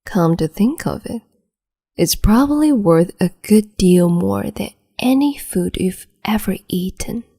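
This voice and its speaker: A woman voicing a cruel, capricious empress in an ASMR roleplay, whispering softly and condescendingly with sweet menace, close to the mic. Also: mid-range voice, expressive, unhurried. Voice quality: breathy whisper.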